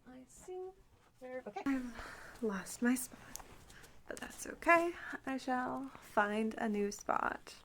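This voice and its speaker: A woman rambling softly and in whispers as an ASMR host, with a cutesy, coaxing, playfully teasing manner. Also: high voice, lively intonation, slow, pauses often. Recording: faint background noise.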